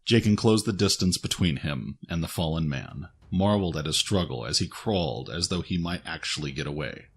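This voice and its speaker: A man with a husky voice reading scripted fiction as a narrator. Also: a bass voice, lively intonation, brisk, hardly any pauses, slight background noise. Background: music.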